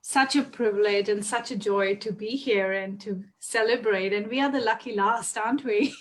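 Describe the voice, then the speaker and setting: A woman on a live video call from home, hosting a relaxed spiritual conversation. She sounds effusive and laughs easily, her voice sitting at an everyday middle pitch. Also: normal speed, low-quality recording. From Australia. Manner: warm, effusive, laughs often. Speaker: host.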